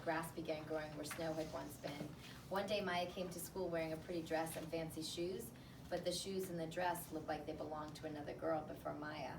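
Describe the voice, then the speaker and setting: A woman teacher reading a picture book aloud to a class, pausing to think out loud in a conversational way. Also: sits low. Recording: roomy, distant mic, clear background noise.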